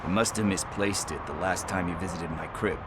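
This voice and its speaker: A chatty street informant and petty thief, acted by a man for a video game, with a deep voice. He wheedles and stalls in folksy slang, over clear urban noise. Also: brisk, lightly acted. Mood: chatty, pleading. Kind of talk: video game cutscene acting.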